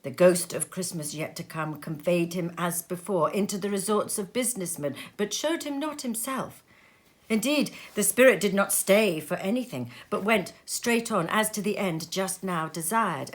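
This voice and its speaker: A woman reading a story aloud in an expressive, measured and dramatic narrating style. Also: mid-range voice. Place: sitting room at home.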